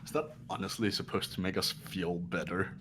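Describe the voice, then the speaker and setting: A man acting a scornful, blunt male high school student with a sardonic manner in an amateur game dub. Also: fairly even intonation, normal speed, natural voice. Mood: accusing.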